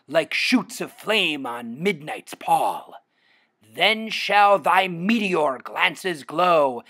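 A man's voice: fairly high pitch, fairly bright tone. A man reciting a poem aloud in a theatrical, declamatory way. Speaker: poetry reader.

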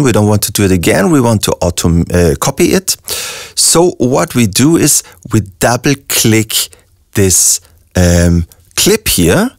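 A young man with an Austrian German accent, a music producer calmly walking through a software tutorial step by step with frequent ums. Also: lowish voice, no echo, clean studio sound. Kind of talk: screen-recorded software tutorial.

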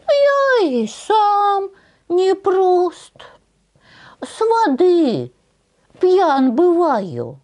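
A woman voicing a poor, patient old peasant man in a folk tale, speaking slowly in a kindly, folksy way. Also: very high voice, huge pitch swings, a little mellow, voice pushed up, full character voice. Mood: reassuring.

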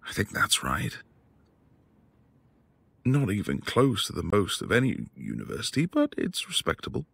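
Low, husky, bookish devoted husband played by a man. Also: no echo, full character voice. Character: quiet, bookish, devoted professor husband, apologetic and tender. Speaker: audio roleplay voice actor. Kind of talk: intimate boyfriend ASMR roleplay acting.

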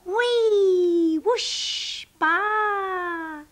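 Woman doing a high, excitable bleating sheep. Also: slow pace, full character voice. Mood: gleeful. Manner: exclamatory, bleating. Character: excitable bleating female sheep, silly and playful. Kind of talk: children's storybook reading.

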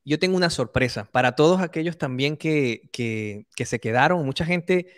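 A man's voice: fairly high pitch, moderate pace, fairly bright tone, no room sound, clean studio sound. A man hosting a live interview, affirming his guest and phrasing questions with hesitations. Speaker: interview host.

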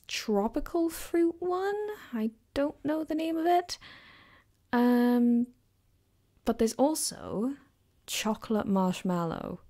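A woman playing a caring, affectionate girlfriend in an ASMR comfort roleplay, speaking softly and gently with hesitant, reassuring warmth. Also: a little high. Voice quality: soft, gentle, sometimes dropping to a whisper.

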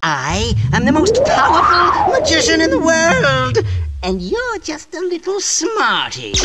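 Wildly swooping, high-pitched evil old magician. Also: male voice, heavily acted. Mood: boastful menace. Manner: taunting, melodramatic, drawn-out sneering delivery. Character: evil old male magician, gleeful, theatrical, hateful of studious children. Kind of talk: theatrical cartoon villain acting in a video game. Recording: loud music behind the voice. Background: loud music.

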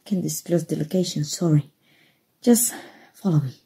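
A woman plays a kind, folksy rebel rescuer in an ASMR roleplay. The voice is very breathy and husky, dark and soft, with a creaky edge. The talk is hushed and coaxing. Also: low voice, moderate pace, full character voice. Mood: guarded, friendly. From Mexico. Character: kind human rescuer, gruff-talking, reassuring undercover rebel.

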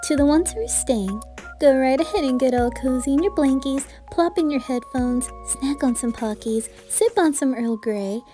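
A woman's voice: high voice, moderate pace, warm tone, soft background music. A woman giving a scripted introduction as the creator of an ASMR video.

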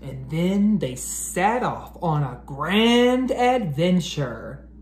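Echoey, high-voiced American man reading aloud. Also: sing-song. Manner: deliberate, carefully enunciated. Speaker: educator reading aloud to children. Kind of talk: children's read-aloud, expressive storytelling. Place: living room at home, indoors. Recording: roomy echo, clear background noise.

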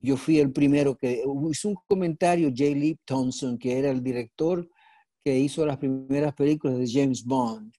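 An older man from the Dominican Republic with a Dominican Spanish accent, telling stories as an actor guest over a video call, animated and halting with frequent restarts. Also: mid-range voice, no room sound. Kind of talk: casual storytelling interview. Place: home room indoors. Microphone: earbud headset on video call.

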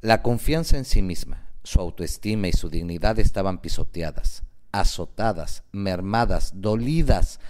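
Deep-ish guy reading a radio novel, dramatic and deliberate. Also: animated, a little mellow. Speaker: narrator.

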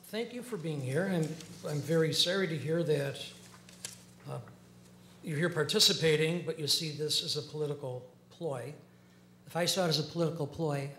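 A man's voice: a little high, fairly bright tone, slight background noise. Middle-aged male lawmaker, echoey humming chamber. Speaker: lawmaker co-chairing a hearing. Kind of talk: formal response at a public hearing. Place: large indoor legislative hearing room, seated at the dais.

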